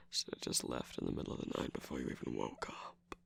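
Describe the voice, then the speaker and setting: A man playing a guarded, sarcastic trickster villain in an ASMR romance roleplay, speaking haltingly in a breathy, husky whisper. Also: rumbling low, wildly swooping pitch, exaggerated voice. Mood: defensive, shaken. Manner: halting, defensive, teasing, with sighs and bitter laughs.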